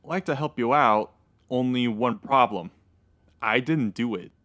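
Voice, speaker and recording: A man playing a hungover, slow-witted murder suspect in a comedy radio drama, sluggish and laconic. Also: medium pitch, a bit bright, close to an everyday voice. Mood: groggy, defensive.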